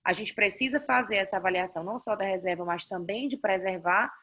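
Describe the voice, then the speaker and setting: A woman's voice: mid-range voice, fast talker, bright, forceful tone. A woman doctor with a Brazilian Portuguese accent giving an online class, explaining slides step by step and often checking in with "né?". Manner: explanatory, frequent "né?" tags and restarts. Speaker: doctor teaching a fertility class. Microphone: video call.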